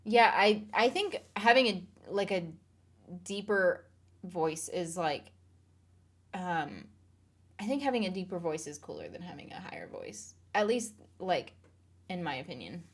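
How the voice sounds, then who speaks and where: A woman's voice: lowish voice, sing-song, a little slow. A woman streamer chatting casually on a live stream, reading viewer comments aloud and laughing along.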